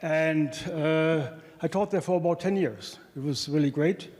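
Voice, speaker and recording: A middle-aged man with a German accent, an economics professor giving a conference talk from a podium, speaking haltingly with many restarts and fillers. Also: fairly high pitch, darkish tone. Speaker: economics professor presenting research. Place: large conference hall, at a podium. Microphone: handheld microphone. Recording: hall reverb.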